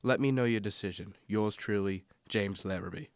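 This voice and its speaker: Man acting as measured, low-voiced gentleman companion. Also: clear, resonant tone, no echo, close to an everyday voice. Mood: formal reading. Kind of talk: radio drama narration and dialogue.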